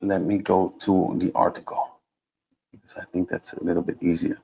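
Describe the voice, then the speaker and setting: A man giving an unscripted software demonstration as a trainer, explaining step by step and thinking aloud in a halting way. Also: sits low, flat delivery, vocal fry, slightly rough, muffled recording. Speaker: trainer presenting a product course.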